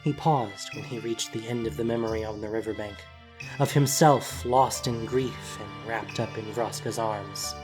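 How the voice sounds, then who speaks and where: An audio drama narrator with a mid-pitched voice reads scripted prose. Soft music runs faintly behind the words. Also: steady tone, moderate pace.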